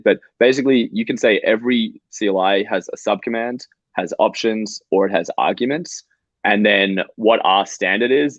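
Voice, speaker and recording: Low-voiced guy in his twenties. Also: no echo. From Australia. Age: 20s. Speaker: startup co-founder guest. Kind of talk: explaining software on a video call. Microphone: video call microphone. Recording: slightly dull, dry call audio.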